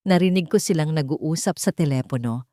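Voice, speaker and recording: A woman with a Filipino accent voicing a thin, timid older woman confessing in an audiobook drama, soft-spoken and urgent. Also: sits low, a little fast, no echo, clean studio sound, natural voice. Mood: weary, resolute. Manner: urgent, pleading.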